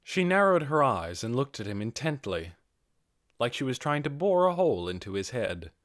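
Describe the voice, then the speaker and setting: A man reading a fantasy audiobook as narrator, in a measured and expressive dramatic storytelling style. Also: mid-range voice, dry recording, clean studio sound.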